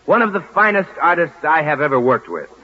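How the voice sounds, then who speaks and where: Higher-pitched American radio announcer, a man. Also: big pitch swings, fairly quick. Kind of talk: 1940s radio announcing, formal war bond appeal. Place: auditorium stage. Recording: muffled, hissy 1940s radio broadcast.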